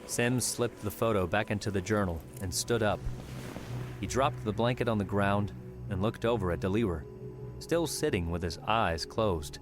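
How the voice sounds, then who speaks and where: A man's voice: lowish voice, moderate pace. A man reading scripted story narration for an audiobook. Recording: music behind the voice.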